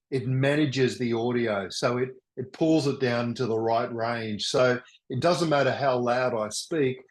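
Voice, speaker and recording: An older man presenting a webinar in a loud, enthusiastic voice, often restarting his sentences. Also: mid-range voice. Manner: halting, with many restarts. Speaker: workshop presenter. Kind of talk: teaching a webinar. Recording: roomy echo.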